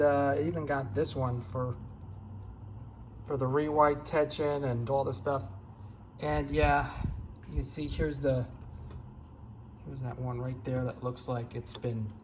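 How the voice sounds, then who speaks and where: A man giving a casual, unscripted hands-on demonstration as a gear hobbyist, in a halting, repetitive, rambling way. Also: mid-range voice, a little hiss or hum.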